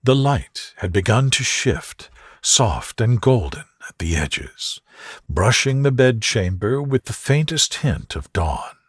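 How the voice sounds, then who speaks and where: A man reading a bedtime sleep story in a soft, gentle, soothing manner. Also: medium pitch, big pitch swings, takes it slowly, husky, no echo, studio quality. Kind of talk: soothing scripted bedtime narration.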